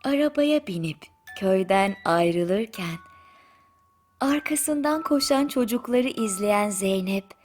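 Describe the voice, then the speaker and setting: A woman narrating a bedtime story in a soothing, gentle manner with drawn-out pauses. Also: medium pitch, animated. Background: music.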